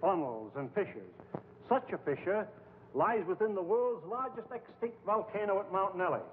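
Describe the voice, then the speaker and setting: High-voiced, earnest scientist, echoing hall. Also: male voice, lively intonation, normal speed. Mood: earnest, persuasive. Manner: earnest, formal, declamatory. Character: embattled, earnest male scientist pleading to save mankind. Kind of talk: 1950s film acting, oratorical. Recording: hollow, tinny old film soundtrack, hiss.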